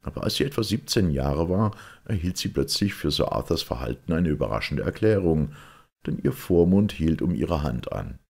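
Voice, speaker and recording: Male audiobook narrator, rock-bottom register, heavy gravel, expressive and measured literary storytelling. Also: extremely low pitch. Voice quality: gravelly, husky. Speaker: audiobook reader.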